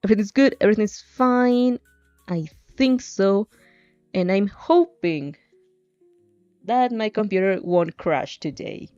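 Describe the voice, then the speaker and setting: A woman streamer talks casually in a soft-edged, mellow voice at a slow, halting pace. She repeats herself and giggles as she goes. The recording is a little dull, with faint music behind her. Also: medium pitch. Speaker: streamer reading fan fiction aloud. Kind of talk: casual livestream chat and read-aloud. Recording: muffled, roomy home recording with music.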